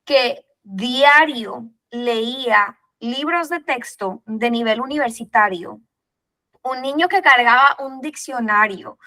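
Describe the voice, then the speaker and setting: A woman hosting a faith podcast, expressive and building to emphatic points as she reflects on the guest's story. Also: a little high. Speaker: podcast host. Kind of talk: podcast interview, reflective preaching.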